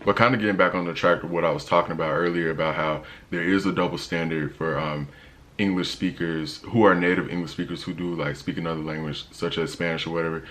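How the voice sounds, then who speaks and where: A young man with a deep voice, talking casually in a travel vlog with a laid-back, slangy manner and lots of fillers. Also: fairly even intonation, fast, fairly bright tone. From United States. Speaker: travel vlogger.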